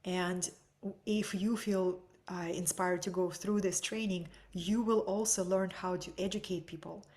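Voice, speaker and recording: A woman with a Russian accent, teaching an online webinar from home. She speaks softly and sometimes drops into a breathy whisper. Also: fairly low pitch, steady tone, a little slow. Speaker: energy healing teacher.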